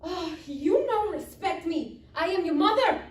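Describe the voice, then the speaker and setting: A woman playing an excitable, exasperated mother in her thirties, in a live comedy table read. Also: unhurried. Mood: angry scolding. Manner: exasperated, dramatic, shrill outbursts. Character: worn, scolding Mexican mother, thirty-five, excitable. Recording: echoey room, distant microphone.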